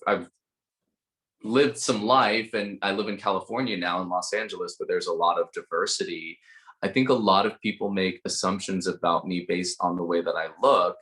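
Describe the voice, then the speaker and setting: Low-voiced man from Idaho, calm and open, speaks in measured casual talk. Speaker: dating show participant. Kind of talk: casual video-call conversation.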